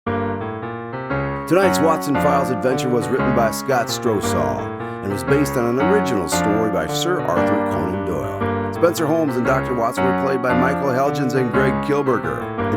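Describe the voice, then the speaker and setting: Radio drama host, male actor: announcer style, genial, eager, animated, music bed underneath. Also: medium pitch. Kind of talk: radio announcer, scripted narration. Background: guitar and piano music.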